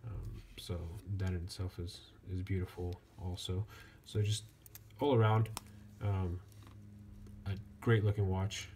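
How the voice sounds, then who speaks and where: A man giving a casual hands-on product review as a reviewer, speaking in a halting way with frequent pauses. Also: low voice. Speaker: watch reviewer. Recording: clear steady background noise.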